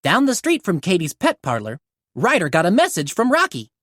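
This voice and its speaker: Very animated woman reading a children's story. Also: lowish voice, fairly quick, dry recording, clean studio sound. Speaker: storybook reader. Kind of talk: animated children's read-aloud narration.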